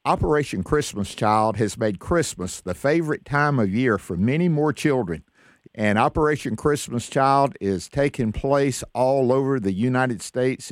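A man's voice: mid-range voice, dry recording, clean studio sound. A man hosting an interview show, speaking as a radio presenter to listeners and guests. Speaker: host.

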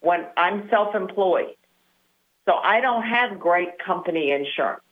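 A woman's voice: lowish voice, animated, measured pace. A woman in her sixties, a Medicare advisor, explaining things matter-of-factly as a guest on the show. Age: 60s. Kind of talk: phone-in interview. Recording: tinny phone line.

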